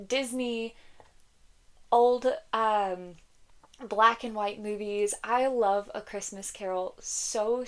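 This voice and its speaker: A woman talking casually about books she loves, a little congested and croaky from a cold, chatty and giggly with frequent ums. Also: medium pitch. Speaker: book vlogger. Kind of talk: casual book recommendations.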